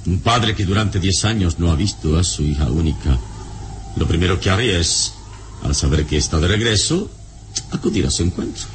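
An actor playing a vigilant, authoritative adventurer hero in a solemn, measured radio serial style. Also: male voice, low-pitched, animated. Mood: calm, grave. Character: commanding, vigilant adventurer hero, calm under danger. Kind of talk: old radio serial acting. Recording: noisy old radio serial recording.

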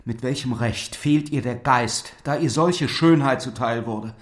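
An actor playing a lovesick, despairing young lord who speaks in earnest, solemn, literary German drama style. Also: male voice, mid-range voice, measured pace, natural voice. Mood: anguished despair. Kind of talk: German audio drama acting. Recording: music under the voice.

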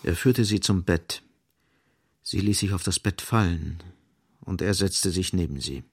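Male narrator, lowish gravelly voice, measured, grave, literary radio drama storytelling.

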